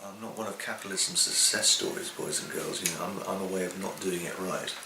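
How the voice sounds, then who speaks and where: A deep, gravelly man in his fifties speaks with wry, self-deprecating humour. He is recorded from a distance in an echoey room with clear background noise. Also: fairly quick. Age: 50s. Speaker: carpenter. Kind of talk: documentary interview.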